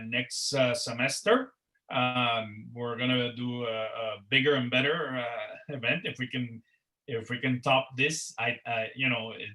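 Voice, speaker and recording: Bright-voiced man wrapping up a panel. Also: medium pitch, rough recording. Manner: rambling, repeats words, many "uh" and "you know" fillers. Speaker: organizer of a career network panel. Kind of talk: closing remarks on a video call panel. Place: Miami. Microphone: video call.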